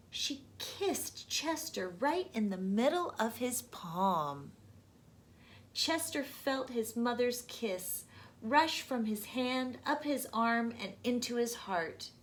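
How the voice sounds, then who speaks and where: A woman hosting storytime, narrating a children's book with deliberate phrasing for young listeners. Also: a little high. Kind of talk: children's storybook read-aloud.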